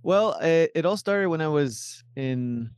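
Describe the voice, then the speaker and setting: Argentine-born, Miami-raised male composer, rambling and casual, smooth voice, dry close sound. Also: fairly high pitch, expressive, slow pace, a little mellow, dry recording. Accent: American, Miami. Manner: rambling, many restarts and likes. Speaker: composer, guest. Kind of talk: casual interview answers.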